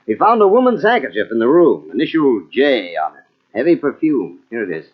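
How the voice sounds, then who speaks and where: Man playing a wry police captain, expressive pitch. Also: fairly quick, close to an everyday voice. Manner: dry, doubting, matter-of-fact. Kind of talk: old-time radio mystery acting. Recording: dull, muffled old radio tape.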